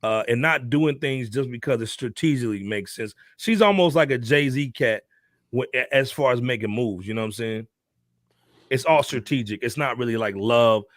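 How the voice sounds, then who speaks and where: A man co-hosting a music podcast, talking in a relaxed, slangy way. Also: mid-range voice, normal speed, no echo, clean studio sound. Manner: relaxed, slangy, drops "man" and "you know". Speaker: podcast co-host. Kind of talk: casual opinion chat.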